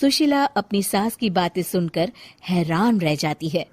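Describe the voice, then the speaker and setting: A woman narrating a scripted cartoon moral story in an expressive storyteller's style. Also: fairly low pitch, measured pace, dry recording.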